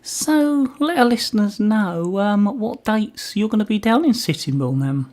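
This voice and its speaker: A woman's voice: mid-range voice, lively intonation, a little slow, a little mellow. A woman hosting a community radio interview, putting questions in a conversational way.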